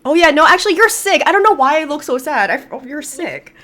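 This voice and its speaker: Podcast co-host, woman, animated pitch, casual sisterly banter. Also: quick pace, background noise. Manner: chatty, says "girl" a lot. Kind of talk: casual chat between sisters.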